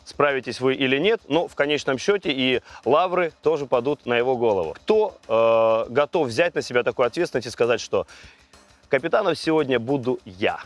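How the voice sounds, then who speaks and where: A man's voice: medium pitch, animated, a little fast. Male reality show host, mid-range voice. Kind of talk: presenting and briefing contestants. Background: faint music.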